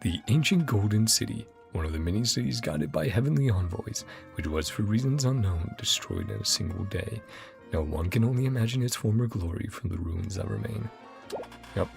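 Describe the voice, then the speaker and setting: A woman's voice: very deep voice, expressive, brisk, vocal fry, a little mellow. A woman doing casual let's-play commentary, playfully reacting in short murmurs and reading game text aloud. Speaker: gaming streamer. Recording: game music under the voice. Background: video game music.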